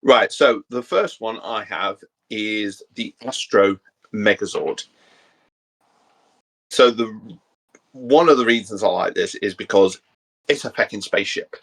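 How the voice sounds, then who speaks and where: A man with a fairly low voice chats casually as a co-host. His delivery is hesitant and rambles along informally from one thought to the next. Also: measured pace, long pauses, a bit bright, low-quality recording. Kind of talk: casual chat.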